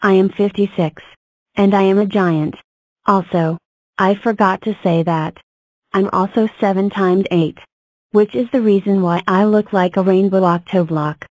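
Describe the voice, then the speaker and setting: A woman's voice: mid-range voice, fairly even intonation, unhurried, a little mellow, dry recording, close to an everyday voice, rough recording. Stiff, dry-sounding female cartoon number block, voiced by a woman. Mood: earnest. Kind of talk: scripted children's counting cartoon.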